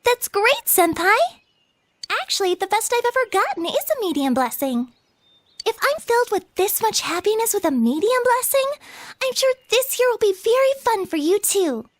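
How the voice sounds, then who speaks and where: A woman's voice: very high voice, sing-song, moderate pace, dry recording. A woman voicing a shy, devoted teenage schoolgirl in an anime-style video game, girlish and sweet, with a hesitant, flustered, polite way of talking. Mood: happy, affectionate.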